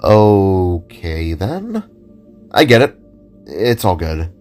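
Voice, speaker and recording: An eager, friendly robotic spark played by a man for an audio drama. He speaks in a low voice, hesitant and awkward, rambling as he tries to be sociable. Faint music plays behind him. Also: sing-song, drawn-out words, darkish tone, heavily acted. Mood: awkward, nervous. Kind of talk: self-narrated audio drama.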